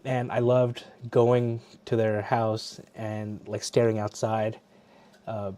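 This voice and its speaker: Mellow-voiced chatty male stream host. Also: on the low side, monotone, slow pace. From Bangladesh. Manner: chatty, loose, self-correcting restarts. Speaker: livestream host. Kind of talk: casual chat.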